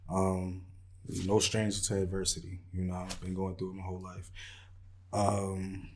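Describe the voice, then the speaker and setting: A man's voice: deep voice, monotone, moderate pace, darkish tone, slight background noise. A man, talking plainly as a podcast guest and tacking on "you know". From North New Jersey. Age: 20s. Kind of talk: casual interview chat.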